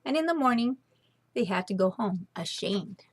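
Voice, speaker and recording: A woman reading a children's story aloud as storyteller, expressive and dramatic with deliberate phrasing. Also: medium pitch, slightly rough.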